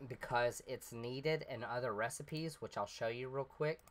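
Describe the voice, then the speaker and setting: A man's voice: medium pitch, measured pace. A man casually commenting over his gameplay, rambling step by step and sounding a little congested as he recovers from being sick. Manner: rambling, explains step by step with fillers. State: slightly congested, recovering from illness. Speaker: let's play host.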